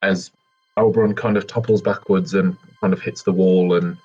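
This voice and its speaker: Deep, husky man playing a tabletop game. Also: fairly even intonation, moderate pace, dark tone, faint music behind. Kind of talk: casual improvised description of his character's actions. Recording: dull, lo-fi microphone sound.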